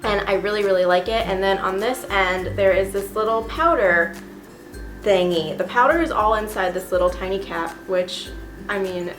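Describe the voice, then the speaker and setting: Young woman, stuffy cold voice, casual gushing vlog chat, mid pitch, echoey room. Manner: chatty and gushing, with many fillers. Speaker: beauty vlogger. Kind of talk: casual monthly favorites chat. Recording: roomy echo with music behind.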